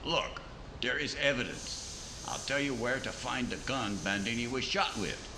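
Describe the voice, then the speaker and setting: A man's voice: medium pitch, unhurried, reverberant, background noise, close to an everyday voice. A man playing a sly, slangy mob boss in a 1950s-style radio crime drama, needling and smugly scheming. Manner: slangy tough-guy talk, needling.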